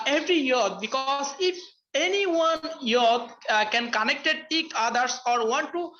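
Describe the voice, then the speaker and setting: A woman's voice: sits high, animated, a little slow, a bit bright, muffled recording, a little hiss or hum. A young woman from Bangladesh, a Bengali speaker with a Bangladeshi accent, giving hesitant webinar remarks full of fillers and restarts over a video call. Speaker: webinar panelist. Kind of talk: halting spoken remarks in a webinar.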